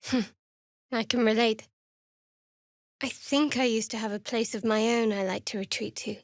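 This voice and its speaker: An actress plays a young female cleric of a dark goddess in a fantasy game. Her voice sits a little high, with a measured, dryly sardonic delivery that keeps others at arm's length. The sound is studio-dry, with no room around it. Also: steady tone, dry recording, natural voice. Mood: soft, reflective. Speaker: video game companion character. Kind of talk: scripted fantasy game voice acting.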